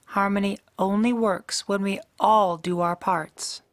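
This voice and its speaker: A woman acting a stern, weary, duty-bound pony princess in a radio drama, speaking formally and gravely. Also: mid-range voice, steady tone, a little slow, dry recording, lightly acted. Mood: firm, dismissive.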